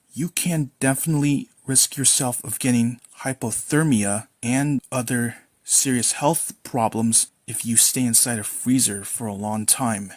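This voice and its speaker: A man's voice: medium pitch, no room sound. Earnest man lecturing in a dry room. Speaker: commentator. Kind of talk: casual reaction commentary.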